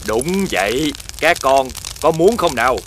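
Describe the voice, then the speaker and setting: An actor playing a menacing old monster in a children's cartoon, with a theatrical, drawn-out, taunting delivery. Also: mid-range voice, expressive, a little hiss or hum. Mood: coaxing. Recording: loud music under the voice.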